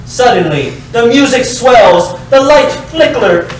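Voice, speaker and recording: A man reading stage directions as scripted narration, deliberate and dry in delivery. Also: very high voice, expressive. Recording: very echoey hall, distant mic, faint noise.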